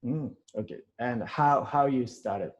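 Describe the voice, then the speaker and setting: A man's voice: mid-range voice, a little slow. A Japanese man with a slight New Zealand accent, interviewing a guest in English in a casual, hesitant way with many fillers and restarts.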